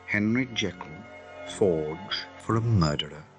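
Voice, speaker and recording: A man voicing a sober, discreet gentleman lawyer in an audiobook, speaking in a formal, measured way. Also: sits low, soft background music. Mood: shocked. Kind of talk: audiobook character acting.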